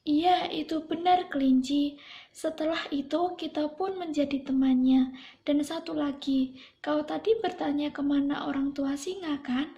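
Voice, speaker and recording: A high, soft-toned cow from a puppet fable acted by a young woman, in slightly dull sound. The cow is firm and patient as it explains. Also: steady tone, unhurried. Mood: solemn. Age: young adult. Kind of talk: puppet-show fable dialogue.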